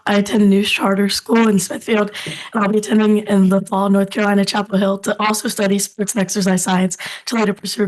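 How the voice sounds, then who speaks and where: A woman's voice: medium pitch, poor audio. A young woman in her late teens, a scholarship student giving composed, rehearsed remarks.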